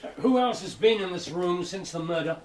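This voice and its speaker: A man playing an incisive, cool Victorian gentleman detective in a British radio drama, speaking in a clipped, precise way. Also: high-pitched, expressive, fairly quick, a little hiss or hum. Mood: focused, dry. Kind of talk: BBC radio drama acting.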